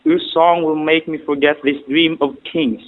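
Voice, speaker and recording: A man's voice: on the high side, fairly even intonation, normal speed, narrow, tinny audio, close to an everyday voice. A man playing a courtly, romantic emperor in an audio drama, speaking formally and poetically. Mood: tender, wooing. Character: romantic emperor, courtly, tender, dreamy ruler. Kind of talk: stage-style audio drama acting.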